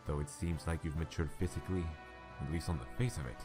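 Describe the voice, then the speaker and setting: Man doing a deep-voiced masked master, calm, measured, probing, music behind. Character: calm, enigmatic masked male martial arts master. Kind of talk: fan radio drama acting. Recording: music underneath.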